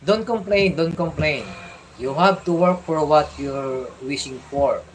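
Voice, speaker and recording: Halting Filipino-accented man, fatherly tone. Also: on the high side, a little hiss or hum, rough recording. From Philippines. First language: Tagalog. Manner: halting, repeats and restarts words, fatherly advice. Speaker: vlogger. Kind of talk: casual livestream chat.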